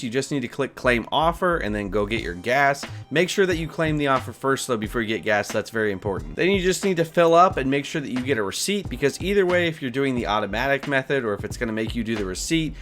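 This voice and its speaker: A man with a slightly croaky voice giving a casual, upbeat explainer review as a video host. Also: medium pitch, fairly bright tone. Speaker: host of a money-saving video channel.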